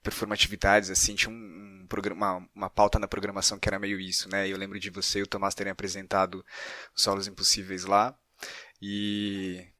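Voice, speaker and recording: A man's voice: low voice, completely flat delivery, fairly quick, pushed and bright, no room sound. A Brazilian man hosting a podcast interview, chatting informally and rambling his way into questions. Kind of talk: casual conversational interviewing.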